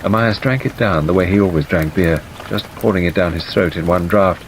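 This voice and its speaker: A man with a fairly low voice reads a scripted story as an audiobook narrator. His delivery is that of dramatic narration. A light wash of rain runs behind the voice. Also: fairly quick, rough recording. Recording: steady rain noise over the voice. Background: rain ambience.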